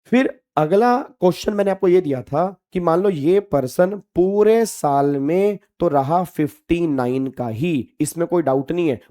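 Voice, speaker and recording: A man's voice: on the high side, warm tone. A man lecturing as a teacher, emphatic and familiar with his students, repeating his key points. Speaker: tax law teacher. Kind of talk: classroom lecture mixing Hindi and English.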